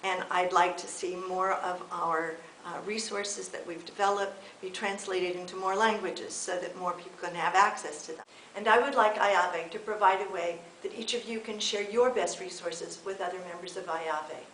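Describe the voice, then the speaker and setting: A middle-aged American woman from California, giving a measured, earnest, slightly halting prepared video statement as an election candidate. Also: lowish voice, fairly even intonation, a bit bright. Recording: echoey room with faint hiss.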